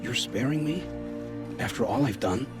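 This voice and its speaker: Game role: guilt-ridden male scout male actor, mid-pitched, haunted dramatic delivery over music. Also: lively intonation, normal speed, natural voice. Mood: humbled, solemn. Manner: grave, hushed, haunted storytelling with poetic phrasing. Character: guilt-ridden male scavenger scout, forced informant, begging for family. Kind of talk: dramatic video game acting. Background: background music.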